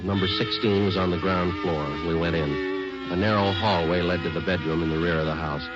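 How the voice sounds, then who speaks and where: Terse, deadpan police detective played by a man, low American voice, old muffled radio drama with loud music. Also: fairly quick, close to an everyday voice. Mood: flat, businesslike. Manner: deadpan, matter-of-fact, terse. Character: laconic male police detective sergeant narrating his case. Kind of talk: 1940s radio police drama, clipped narration.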